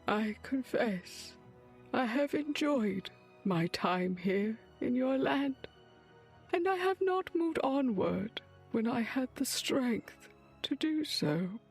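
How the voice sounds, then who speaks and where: Husky, weary dying witch. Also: a little high, animated, faint music behind, full character voice. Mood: solemn confession. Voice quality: husky, breathy, creaky, often near whisper. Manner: slow, halting, grave. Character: weary, dying foreign witch, secretive and solemn. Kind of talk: dark fantasy audio drama acting.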